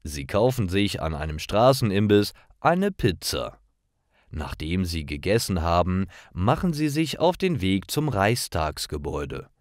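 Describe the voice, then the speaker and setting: A man reads simple stories for language learners. His voice is fairly low and very slow, with lively intonation. Each sentence is carefully set apart. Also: no echo, studio quality. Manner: careful, clearly separated sentences. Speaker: narrator. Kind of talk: scripted story narration for language learners.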